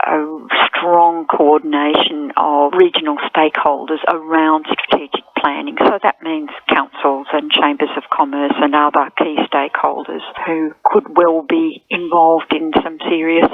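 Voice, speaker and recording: Even-toned woman on thin phone line. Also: low voice, moderate pace, few pauses. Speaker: research fellow. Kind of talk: measured interview statement. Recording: thin telephone-line audio.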